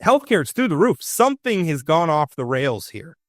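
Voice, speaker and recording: A man's voice: fairly high pitch, sing-song, moderate pace, dry recording, studio quality. A man hosting a talk show, chatting opinionatedly and restarting his sentences often. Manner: opinionated, frequent restarts and stammered repeats. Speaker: radio talk show host. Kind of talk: casual political chat.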